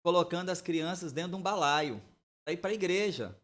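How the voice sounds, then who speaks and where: A man's voice: sits high, a little fast, fairly bright tone. A pastor in his forties, from Bahia, telling his life story as a guest on a church podcast in an easygoing, storytelling way. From Bahia, Brazil. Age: 40s. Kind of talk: relaxed podcast interview, testimony.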